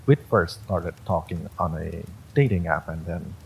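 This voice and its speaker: Guy reading a creepy story, real deep and slow, bit of noise behind him. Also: vocal fry, poor audio. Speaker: story reader. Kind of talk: dramatic first-person horror story narration.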